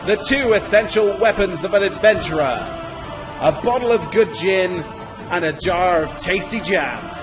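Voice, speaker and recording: A man's voice: high voice, expressive, a little slow. A man voicing a jaunty adventurer who announces a podcast intro theatrically. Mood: grand, playful. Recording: loud music behind.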